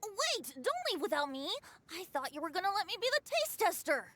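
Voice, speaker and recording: A woman's voice: very high voice, sing-song, moderate pace, a bit bright, slight background noise, heavily acted. A woman playing a breezy, teasing young drinker in a video game cutscene, with a playful theatrical manner. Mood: eager. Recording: game music behind the voice.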